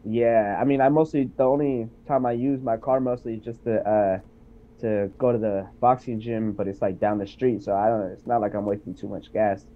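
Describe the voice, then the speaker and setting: A man's voice: lowish voice, steady tone, moderate pace. A sick, congested man joining a livestream as a guest over a video call, talking hesitantly with many ums. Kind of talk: casual chat on a call. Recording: tinny call audio.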